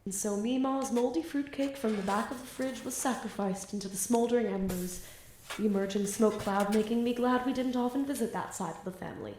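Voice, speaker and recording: A woman's voice: on the high side, fairly quick, a little mellow, slight background noise, close to an everyday voice, rough recording. A woman playing a flippant, theatrical teenage student magician in an audio drama, with a wordy, casual manner. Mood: dramatic narration. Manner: casual teen banter, theatrical wordy narration. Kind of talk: audio drama acting and first-person narration.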